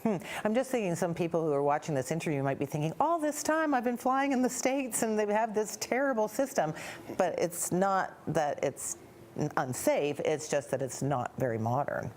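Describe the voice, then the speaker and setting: A woman's voice: on the low side, expressive, normal speed, a little mellow, slight background noise. A woman with a Canadian accent, hosting a broadcast news interview. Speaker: TV news host.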